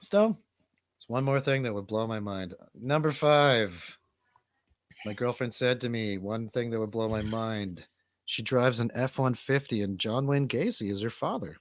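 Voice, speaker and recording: A man's voice: medium pitch, unhurried, no room sound, studio quality. An American man co-hosting a comedy radio show as a DJ, chatting casually in rambling, profane, joking banter.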